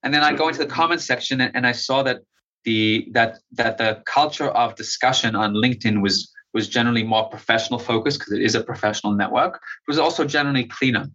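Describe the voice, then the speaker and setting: A young man talks through his answers in a bright, forceful voice, stumbling over restarts and leaning on fillers. His voice comes through a slightly dull, lo-fi recording. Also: medium pitch, fast talker. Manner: hesitant, many restarts and fillers. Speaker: interview guest. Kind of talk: conversational interview answer.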